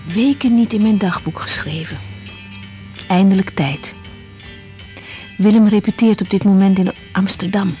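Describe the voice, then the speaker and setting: A woman's voice: mid-range voice, dark tone, natural voice. A woman playing a caring, frank young doctor in a naturalistic radio drama. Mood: reflective, tender.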